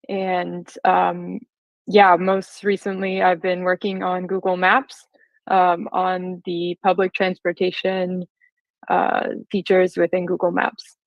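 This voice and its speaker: A woman in her twenties with an American accent, giving a conversational presentation to an audience as a guest speaker. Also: fairly low pitch. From California, United States. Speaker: guest speaker, software engineer. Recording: slightly roomy, dull lo-fi sound.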